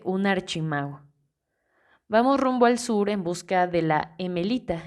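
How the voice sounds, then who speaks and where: A woman reading the lines of a calm, wry older male archmage, in an easygoing, gently teasing way, in an audiobook. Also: mid-range voice, lightly acted.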